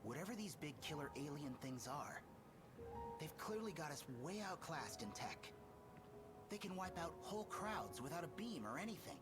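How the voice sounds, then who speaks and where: Earnest teen boy in an anime game, trying to be tough, lively pitch, loud music behind. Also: moderate pace. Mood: puzzled, earnest. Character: teenage boy, ex-nerd acting tough, earnest and reassuring. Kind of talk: dubbed anime video game acting.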